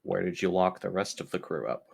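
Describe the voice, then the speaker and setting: A man's voice: low-pitched, brisk, creaky, breathy edge, exaggerated voice. A man playing a stern ship's captain who fires clipped, demanding questions in a tabletop game. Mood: stern, accusing. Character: stern ship's captain interrogating his crew at gunpoint.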